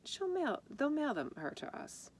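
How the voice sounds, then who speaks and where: A woman voicing a worried mother in a storybook, halting and reassuring as she tries to sound cheerful. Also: sits high, very animated, pitched above the performer's own voice. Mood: forced cheer. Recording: noticeable background noise.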